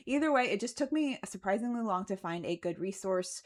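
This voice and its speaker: A woman's voice: mid-range voice, expressive, fairly quick. A woman narrating a casual explanatory video as its creator, chatty and conversational, with a wry, self-deprecating way of talking. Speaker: video creator narrating her game development progress.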